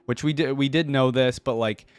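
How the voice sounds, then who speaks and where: A man's voice: mid-range voice, steady tone, moderate pace, smooth, no room sound. A man giving casual, enthusiastic reaction commentary as a video host, informally thinking aloud as he goes.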